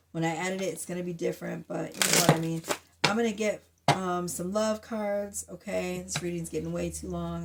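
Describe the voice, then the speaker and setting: A woman's voice: lowish voice, noisy background. Blunt, foul-mouthed woman with a sore throat. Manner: blunt, profane, chatty. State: sore throat, a bit hoarse. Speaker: tarot reader. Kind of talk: casual tarot reading to camera.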